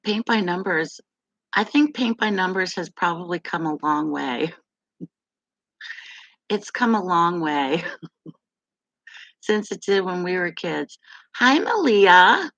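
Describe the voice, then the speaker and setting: A middle-aged woman from West Virginia with a deep, gravelly voice, hosting a casual live chat with viewers, rambling and laughing often. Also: expressive, slow, pauses often, fairly bright tone, no echo, muffled recording. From West Virginia, USA. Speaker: live stream host.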